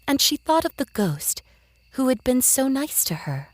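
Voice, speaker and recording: A woman narrating a scripted children's story in a gentle, expressive, measured way for very young listeners. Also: sits high, no room sound.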